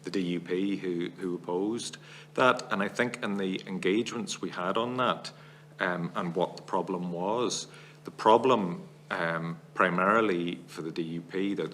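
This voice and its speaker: A man giving formal testimony as a witness before a parliamentary committee, laying out long arguments in a measured, analytical way. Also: sits low, a bit bright, slight background noise.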